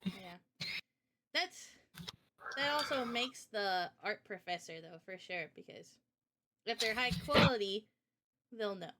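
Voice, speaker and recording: A woman's voice: mid-range voice, lively intonation, slow pace, pauses often, heavy background noise. A woman chatting casually on a livestream Q&A in a giggly, informal way, as the host. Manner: giggly, laughs often while talking. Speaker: host and visual novel developer.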